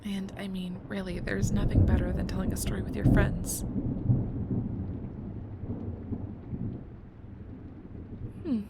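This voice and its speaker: A woman acts out a nerdy young girlfriend in an intimate ASMR roleplay. She has a mid-pitched voice with plenty of fry and talks in playful, excitable rambles. A thunderstorm is clearly audible behind her. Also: a little fast, lightly acted. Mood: playful, warm. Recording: storm ambience with thunder behind. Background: thunder and storm noise.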